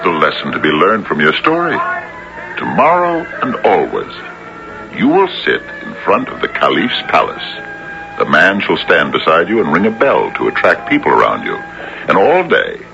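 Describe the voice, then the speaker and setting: An actor playing a stern, commanding judge, solemn and authoritative, in a 1970s radio drama. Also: male voice, sits low, huge pitch swings, moderate pace, creaky, heavily acted. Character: stern, commanding male judge passing sentence. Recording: muffled old radio recording with music.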